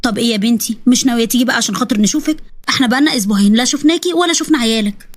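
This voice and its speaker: A woman's voice: fairly high pitch, rapid-fire, close to an everyday voice. A woman voicing a caring middle-aged mother on the phone with an Egyptian Arabic accent, coaxing and anxious.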